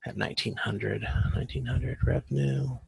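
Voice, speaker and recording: A man giving an informal online lecture as an instructor, talking in a halting, self-correcting way and often checking in with the listener. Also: mid-range voice, fairly even intonation, gravelly, slightly rough, no echo. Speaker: instructor teaching supply chain management. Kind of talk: informal lecture walking through spreadsheet calculations. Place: online video conference with screen share.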